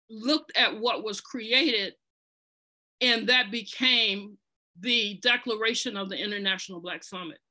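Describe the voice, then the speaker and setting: Bright-voiced woman telling a history. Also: a little high. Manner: earnest, conversational, with restarts and fillers. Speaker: podcast presenter telling an organization's history. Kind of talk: storytelling, partly reading aloud.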